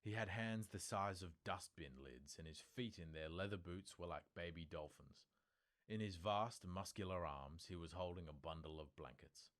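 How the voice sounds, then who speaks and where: Low-pitched man narrating an audiobook. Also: normal speed, fairly bright tone. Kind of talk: scripted storybook narration.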